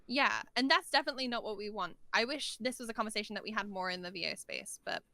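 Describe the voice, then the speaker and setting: A woman's voice: medium pitch, expressive, quick pace, vocal fry, sharp tone, dry recording. A woman in a casual interview, giving opinionated, emphatic advice as a guest voice actor, recorded at home on a dynamic microphone. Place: large untreated room at home.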